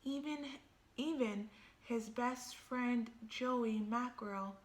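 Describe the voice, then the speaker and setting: A woman reading a children's picture book aloud expressively, thinking out loud and pausing to put questions to young listeners. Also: a little high, roomy sound.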